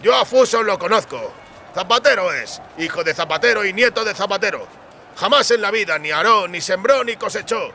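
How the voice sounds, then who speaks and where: A man's voice: high voice, expressive, a little fast, bright, forceful tone, slight background noise, pitched above the performer's own voice, heavily acted. A man voicing a brash, jovial mercenary soldier with a hearty, folksy way of talking in an audiobook. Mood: amused, brash. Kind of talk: audiobook character acting.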